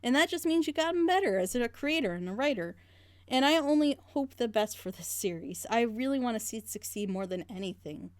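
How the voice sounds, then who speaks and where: A woman's voice: fairly high pitch, animated, fairly quick, dry recording. Earnest woman in dry, close audio. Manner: earnest, passionate, rambling. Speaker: reaction group member leading the discussion. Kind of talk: casual group reaction chat.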